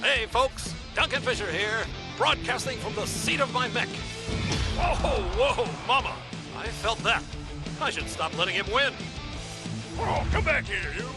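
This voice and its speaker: A man's voice: extremely high pitch, animated, measured pace, over loud music, full character voice. A male actor playing a brash, goofy, self-promoting arena commentator in a video game, with bombastic, cocky showman's patter. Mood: flustered bravado. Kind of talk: showy sports broadcast patter.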